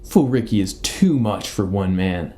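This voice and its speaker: Mellow deadpan detective played by a young man. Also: on the low side, a little slow. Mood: deadpan, stern. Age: young adult. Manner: deadpan, officious. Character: deadpan, officious male detective interrogating a suspect. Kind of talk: comedic radio play acting.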